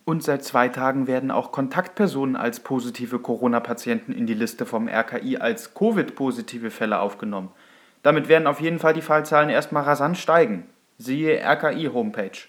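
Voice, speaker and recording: A factual male commenter, played by an actor with a medium-pitched voice. He states online posts declaratively, as part of a radio play collage. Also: fairly quick, fairly bright tone, lightly acted.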